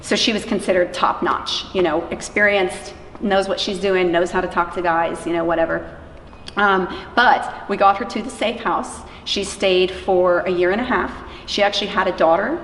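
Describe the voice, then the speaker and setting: A woman's voice: fairly low pitch, normal speed, a bit bright. Woman telling stories in an echoey room. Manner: informal, acts out quoted dialogue. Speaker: interviewee, anti-trafficking worker. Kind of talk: conversational storytelling in an interview. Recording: echoey room from far off, faint noise.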